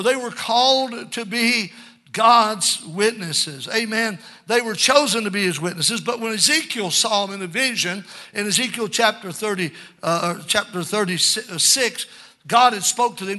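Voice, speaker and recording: An older male preacher with a high voice and a very sharp, forceful tone. He delivers a fervent Pentecostal sermon, exhorting the congregation and dropping frequent amens between his points. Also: normal speed. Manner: exhorting, punctuated with frequent amens and calls for response.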